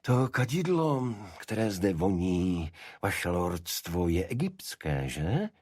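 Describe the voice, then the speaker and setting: A man's voice: deep voice, big pitch swings, darkish tone, husky, dry recording, clean studio sound, exaggerated voice. A man voicing a Victorian detective in an audiobook, asking polite questions with feigned innocence.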